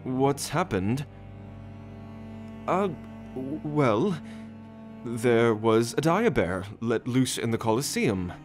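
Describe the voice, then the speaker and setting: A man acting in an ASMR audio roleplay as a doting royal caretaker, hesitant and stammering as he gently reassures. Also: mid-range voice, big pitch swings, slow pace, long pauses. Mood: concerned, careful. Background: orchestral string music.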